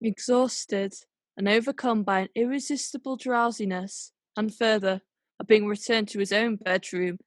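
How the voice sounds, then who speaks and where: A woman's voice: medium pitch, fairly even intonation, normal speed, no echo, clean studio sound, natural voice. A woman narrating a classic tale as a scripted radio play, in storybook style. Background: music.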